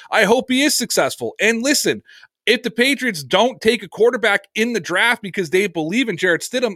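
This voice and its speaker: A man's voice: high-pitched, pushed and bright, dry recording, studio quality. A man hosting a sports podcast, answering viewer comments in an earnest, conversational way.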